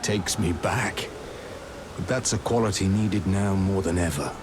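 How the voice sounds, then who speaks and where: An actor playing a gruff, friendly, seasoned warrior and mentor in a video game, plain-spoken and laconic. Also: male voice, sits low, measured pace, noisy background. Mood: measured, welcoming. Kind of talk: video game character dialogue.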